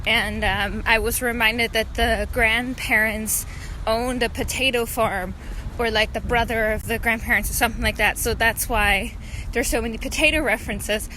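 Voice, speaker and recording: Woman, outdoor clip, breezy, offhand, bright, fairly high voice, faint traffic noise. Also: steady tone, moderate pace, rough recording. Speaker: book channel host. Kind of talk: casual recorded update.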